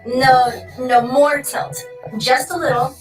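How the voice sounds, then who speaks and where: An actress playing an urgent, insistent woman entrepreneur excited about an idea in an audio drama. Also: sits high, slow pace. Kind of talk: business audio drama acting. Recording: echoey distant playback, faint music.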